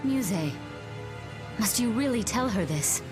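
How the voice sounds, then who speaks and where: An actress playing a solemn, dignified, loyal female elemental spirit in a video game, speaking formally and with measured phrasing. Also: a little high, expressive, music in the background. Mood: solemn, regretful. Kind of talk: dramatic video game voice acting.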